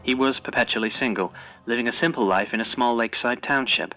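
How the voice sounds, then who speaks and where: Bright, forceful news reporter. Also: male voice, mid-range voice, quick pace, soft background music. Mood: grave, formal. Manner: formal, dramatic news delivery. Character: earnest, formal male city TV news reporter. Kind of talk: news report in audio drama.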